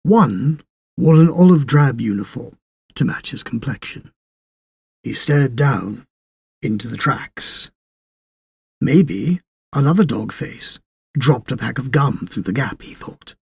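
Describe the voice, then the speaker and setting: Male narrator for weird fiction: very raspy, dry close sound, measured dramatic reading. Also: medium pitch, animated, darkish tone, no echo. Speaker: audiobook narrator. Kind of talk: scripted literary story narration.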